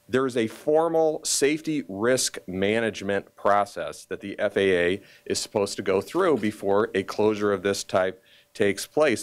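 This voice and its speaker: A man speaking as a county official at a press conference, deliberate and emphatic, pausing often in a formal statement. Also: on the low side.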